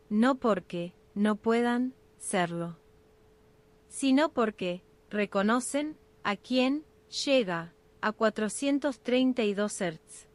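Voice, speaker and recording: A woman narrating a scripted ASMR guided sleep meditation in short, unhurried phrases with pauses. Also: mid-range voice.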